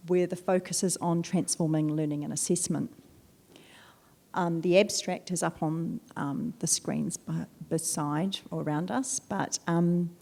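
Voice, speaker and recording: A woman chairs a conference panel and introduces the speakers. She is gracious and hesitant, and fills pauses often. Her voice is low-pitched and mellow. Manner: gracious, hesitant, with many fillers. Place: conference hall, seated on a sofa.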